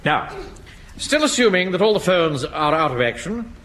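An actor playing a pompous, easily flustered middle-aged English Home Guard captain in a British sitcom, speaking in clipped, officious commands. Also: male voice, fairly high pitch, very animated, normal speed, fairly bright tone. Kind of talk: British sitcom acting before an audience. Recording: noisy old radio comedy recording.

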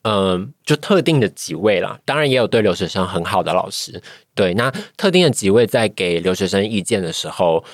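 A woman's voice: a bass voice, expressive, brisk, dry recording, studio quality. A woman with a Taiwanese Mandarin accent, a podcast guest giving a casual personal account and often searching for words. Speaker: podcast guest, voice acting trainee.

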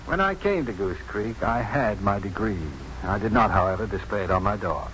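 Proud maverick physician, formal and sardonic, 1950s radio play, dull hissy recording. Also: male voice, lowish voice, animated, normal speed, fairly bright tone. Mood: dryly unruffled. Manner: formal, precise, dryly sardonic. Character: proud unconventional male doctor, coolly defiant and dryly witty. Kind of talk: 1950s radio drama acting.

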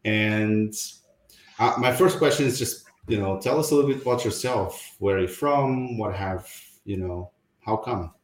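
A man's voice: fairly low pitch, moderate pace, reverberant, a little hiss or hum. A man hosting an interview podcast, introducing a guest and asking questions with a few fillers. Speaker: podcast host.

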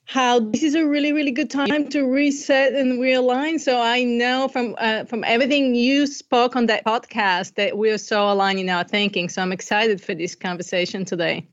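A woman's voice: a little high, steady tone, normal speed, hardly any pauses. Bulgarian-accented woman, slightly dull recording. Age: middle-aged. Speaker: podcast guest, coach and entrepreneur. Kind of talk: conversational interview, telling life story.

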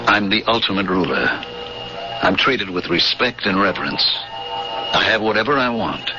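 A man's voice: on the low side, lively intonation, moderate pace, music in the background, lightly acted. An actor in a radio drama playing a bewildered, wistful man musing on his strange life as a ruler. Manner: musing, hesitant monologue. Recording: dull vintage radio broadcast.

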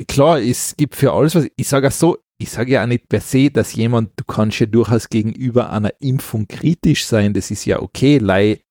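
An Austrian man co-hosts a podcast in a conversational, opinionated way. He often restarts and repeats words before getting to his point. His voice is of medium pitch and recorded dry, without room sound. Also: darkish tone, no echo. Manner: repeats words, halting starts. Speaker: podcast co-host. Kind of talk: casual opinionated chat.

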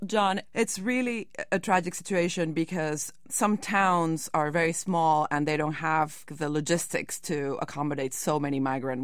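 Low-voiced woman explaining in an interview. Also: no room sound, clean studio sound. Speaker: investigative reporter being interviewed.

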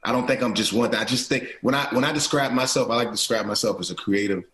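A man's voice: mid-range voice, fast talker, a bit bright, roomy sound. A middle-aged man, an actor and producer, talking earnestly as an interview guest, restarting phrases and often checking in with "right?". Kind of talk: casual interview talk.

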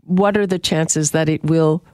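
A woman's voice: sits low, fairly even intonation, unhurried, darkish tone, clean tone, no echo, clean studio sound. Woman radio host asking pointed questions. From Canada. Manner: direct, probing questions. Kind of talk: radio interview.

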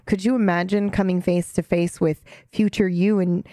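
Low-voiced, excitable woman co-host. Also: moderate pace, no room sound, studio quality. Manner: gushing, excitable, swears casually. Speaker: podcast co-host. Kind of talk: casual chat.

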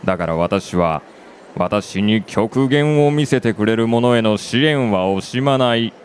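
An actor playing a calm, imperious company chairman in a radio drama, measured and polite but haughty. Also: fairly low pitch, slight background noise. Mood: haughty composure. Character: calm, imperious male company chairman obsessed with human extremes. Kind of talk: Japanese radio drama acting.

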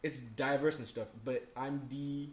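A man's voice: medium pitch, steady tone, unhurried. A man from Nigeria with a Nigerian accent, ranting emphatically in casual chat and firing off rhetorical questions. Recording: roomy echoey room.

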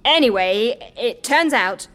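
Audio drama: brisk female fixer, punchy, swinging intonation, lightish high pitch. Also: lively intonation, fairly bright tone. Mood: explaining. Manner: punchy, matter-of-fact. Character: brisk, cocky female death-faking specialist.

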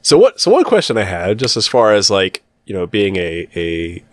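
A man with a very deep voice hosts a casual podcast, feeling his way through questions with plenty of pauses and fillers. The mic is close and dry. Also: dry recording. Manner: relaxed, hesitant, many fillers.

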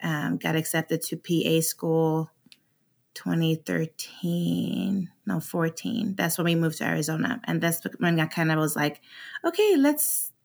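Laughing Swedish-born woman, slightly low. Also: gravelly. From Sweden. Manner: open, laughs easily, many fillers. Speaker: guest. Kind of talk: casual podcast chat.

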